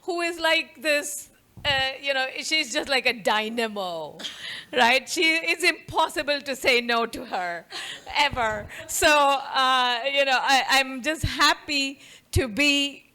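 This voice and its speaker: A middle-aged woman with an Indian accent speaks to an audience in a university auditorium. Her voice sits high and has a bright edge. She talks fast and conversationally, with frequent light laughs. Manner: quick, warm, laughs often, conversational. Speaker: professor giving a talk. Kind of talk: conference talk to an audience.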